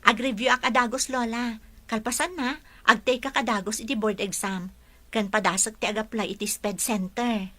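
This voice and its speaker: A woman's voice: a little high, steady tone, normal speed, pushed and bright. A woman playing a young, hopeful, determined student teacher in an Ilocano radio drama, earnest, with an Ilocano accent. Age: young adult.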